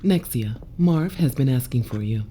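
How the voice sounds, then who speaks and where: Lady doing a ship's computer, very low, dark and mellow, flat announcer tone. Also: normal speed, slight background noise. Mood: announcing. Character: calm ship's computer, female, matter-of-fact.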